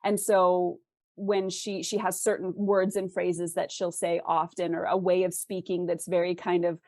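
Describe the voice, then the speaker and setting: A woman's voice: lowish voice, little pitch movement, unhurried, a little mellow, no echo. An American woman from the West Coast explains her craft conversationally as an interview guest, thoughtfully posing questions as she goes. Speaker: interview guest, fiction author.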